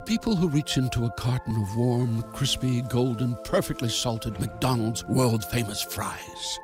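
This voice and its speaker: A man reads a scripted commercial voice-over in a mid-range voice. Music plays clearly behind him as he delivers the advertising copy. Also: mid-range voice, measured pace. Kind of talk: scripted fast-food advertisement narration. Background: background music.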